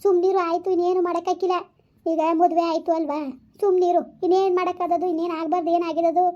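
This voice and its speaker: A woman plays a doting older mother in a village family melodrama, with a rural southern Karnataka accent. The voice is extremely high yet dark-toned, coaxing and fatalistic, circling back over the same phrases. The sound is slightly muffled and lo-fi. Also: little pitch movement, fast. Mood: exasperated consoling. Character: doting older village mother consoling her grown son.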